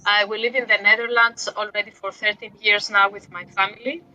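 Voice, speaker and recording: A woman's voice: mid-range voice, little pitch movement, fairly quick, bright, forceful tone, highs cut off, slight background noise. A woman in her forties from Greece with a Greek accent, a guest author talking reflectively about parenting, with light self-deprecating humor. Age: 40s. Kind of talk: casual interview talk.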